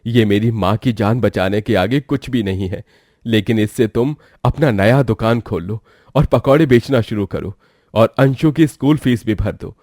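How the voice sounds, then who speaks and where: A man voicing a generous, grateful older village landlord in a cartoon moral story, speaking in a measured, magnanimous way. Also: fairly low pitch, darkish tone, no echo, clean studio sound, close to an everyday voice.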